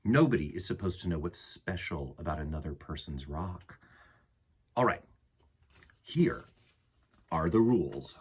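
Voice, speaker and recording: A man with a husky voice reading a picture book aloud to children in an expressive way, with dramatic pauses and emphasis. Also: sits low. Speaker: children's storytime host and reader.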